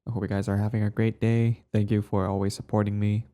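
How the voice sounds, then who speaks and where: A man with a raspy voice, recovering from throat trouble, giving a casual, low-key update to his listeners as channel host. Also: level tone, fast, warm tone.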